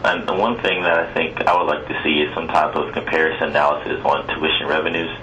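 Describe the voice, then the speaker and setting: A man's voice: rumbling low, little pitch movement, fast talker, sharp tone. A man speaking politely as a board member in a formal telephone meeting. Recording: distant phone pickup with faint noise.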